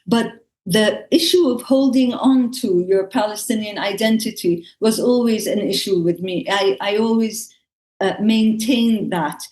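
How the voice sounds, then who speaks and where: A woman's voice: medium pitch, unhurried, a little mellow. A woman from Lebanon, speaking reflectively as a panel guest and often searching for words. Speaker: theatre artist and actress, panel guest. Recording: echoey room sound.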